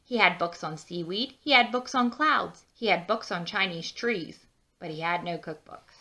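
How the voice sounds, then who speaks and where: A woman reading a children's storybook aloud with expressive, drawn-out emphasis and chatty asides. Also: on the low side. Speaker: children's book read-aloud narrator.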